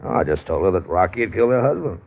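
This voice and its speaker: A man's voice: low-pitched, big pitch swings, racing, gravelly, full character voice. An actor playing an evasive, irritable American man under questioning in a 1950s radio drama, offhand and defensive. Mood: weary, offhand. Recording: dull vintage radio recording.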